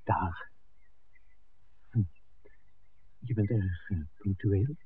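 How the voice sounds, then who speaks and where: An actor playing a calm, smitten male filmmaker in a 1960s radio drama. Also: lowish voice, extremely slow, breathy edge, full character voice. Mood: tender, intimate. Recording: dull vintage 1969 radio recording.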